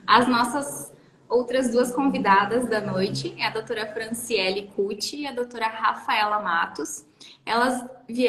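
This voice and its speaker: A woman hosts a casual live stream, her voice sitting fairly high. She talks in a chatty, friendly way, and the room around her rings with echo. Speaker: host.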